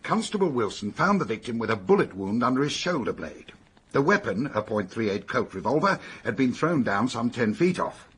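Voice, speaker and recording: Brisk British detective, radio mystery; mid-pitched, husky, matter-of-fact yet animated. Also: male voice. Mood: matter-of-fact, intent. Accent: British English. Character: brisk, practical male British police detective.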